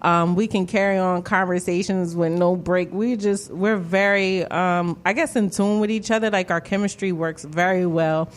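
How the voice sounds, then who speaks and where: Woman, late 30s, guest, lower voice, talkative and laughing. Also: steady tone, normal speed, hardly any pauses. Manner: chatty, laughs while talking. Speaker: podcast guest. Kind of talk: casual interview chat.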